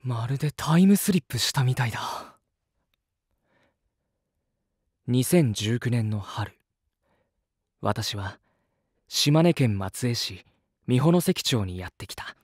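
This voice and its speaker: A male actor playing an earnest, easily amazed travel reporter in a voice drama, gentle, polite and expressive. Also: medium pitch, unhurried, slightly rough, no room sound, clean studio sound. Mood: reflective. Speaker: voice actor narrating as lead character. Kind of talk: first-person voice drama narration and dialogue.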